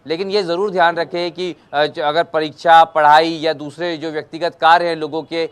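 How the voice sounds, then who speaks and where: Higher-voiced man reporting live news. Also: flat delivery, moderate pace, clear, resonant tone. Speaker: television news correspondent.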